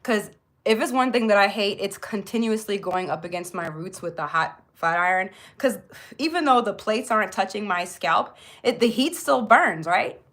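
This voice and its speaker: A woman speaks at an average female pitch. She is hosting a live beauty tutorial in a casual style. Her delivery is informal and chatty, addressed directly to the people watching. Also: expressive, moderate pace, a bit bright. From born in Jamaica, grew up in Florida, US. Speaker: beauty livestream host.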